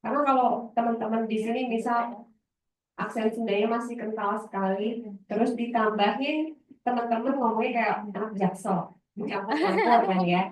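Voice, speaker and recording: A woman's voice: a little high, moderate pace. Chatty Javanese-accented woman in echoey room. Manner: chatty, loose, laughs easily, trails off searching for words. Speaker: voice-over trainer. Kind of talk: casual workshop talk. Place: library workshop room. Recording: roomy, distant, dull sound.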